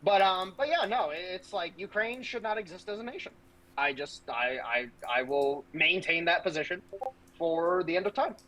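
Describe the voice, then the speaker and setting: A man's voice: high voice, lively intonation, normal speed, pushed and bright, low-quality recording. A man giving casual political commentary as a podcast host, speaking in a brash, blunt, profanity-laced way.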